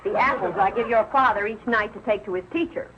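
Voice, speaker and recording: A woman playing a sharp, suspicious housewife and mother in a 1940s television comedy, pointed and sarcastic in manner. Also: mid-range voice, fast talker, close to an everyday voice. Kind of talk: 1940s television sitcom acting. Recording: muffled, noisy old 1940s TV soundtrack.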